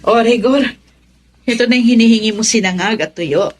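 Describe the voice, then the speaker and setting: A woman's voice: a little high, moderate pace, soft background music, lightly acted. Woman playing a good-natured, trusting young wife. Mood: innocent curiosity. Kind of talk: Tagalog radio drama acting.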